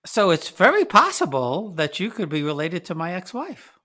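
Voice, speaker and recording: A man's voice: sits high, wildly swooping pitch, normal speed, pushed and bright. A man hosting an interview, asking questions in a rambling, conversational way with many restarts. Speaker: podcast host.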